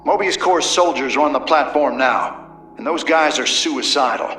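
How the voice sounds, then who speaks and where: An actor playing a gruff, weary middle-aged frontier commander with a folksy Southern American drawl in a video game. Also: male voice, fairly high pitch, moderate pace, bright, forceful tone, faint music behind. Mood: urgent, gruff. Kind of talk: video game cinematic and mission dialogue acting.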